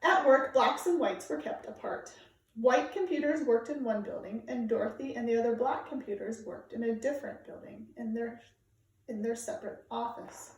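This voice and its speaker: A woman reading aloud to children like a teacher, with clear, deliberate, emphatic phrasing. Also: a little high. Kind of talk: read-aloud storytelling for children, informal introduction. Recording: very echoey room, distant microphone.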